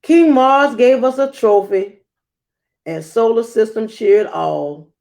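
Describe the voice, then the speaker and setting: A woman reading a rhyming children's picture book aloud, acting it out with theatrical emphasis and dramatic pauses. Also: on the high side, creaky, a little mellow. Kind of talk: expressive rhyming storybook read-aloud.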